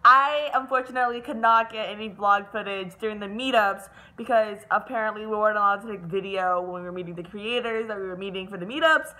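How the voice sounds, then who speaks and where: A woman's voice: medium pitch, pushed and bright. A young woman vlogging to her phone at arm's length, bubbly, giggly and upbeat. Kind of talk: casual vlog to camera. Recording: noisy phone recording with crowd.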